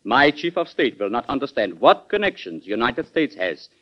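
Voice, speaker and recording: An actor playing a courteous, stubborn ambassador in an old-time radio drama. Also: male voice, medium pitch, sing-song. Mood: coolly polite. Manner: courteous, measured, unyielding. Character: formal, coolly stubborn German ambassador. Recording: dull vintage radio recording.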